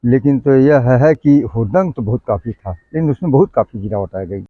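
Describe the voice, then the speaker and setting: A man giving informal, halting interview answers as a local villager, often rephrasing. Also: mid-range voice, highs cut off.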